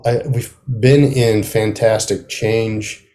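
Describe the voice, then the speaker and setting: A man, a playwright, talking casually as an interview guest in a halting, thoughtful way, often trailing off mid-sentence. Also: fairly low pitch, steady tone, dark tone. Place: remote video call.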